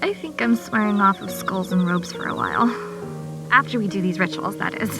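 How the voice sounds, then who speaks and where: A woman's voice: medium pitch, lively intonation, a little fast, lightly acted. A woman playing a sassy, lovesick young ex-cultist in a visual novel game, with slangy, dramatic phrasing. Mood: brisk, sassy. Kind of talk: animated visual novel acting. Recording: game audio with music behind.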